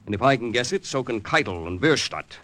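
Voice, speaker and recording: A man's voice: medium pitch, sing-song, a little fast, fairly bright tone. A male actor playing a composed, wry intelligence officer in a 1940s radio drama, speaking with dry understatement. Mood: dry, pointed.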